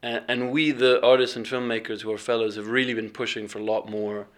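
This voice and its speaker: A young man speaking in a relaxed interview, reflective and hesitant, with many restarts and ums as he thinks aloud. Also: medium pitch, fairly quick. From England, raised partly in Bahrain. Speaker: filmmaker and fellowship alumnus.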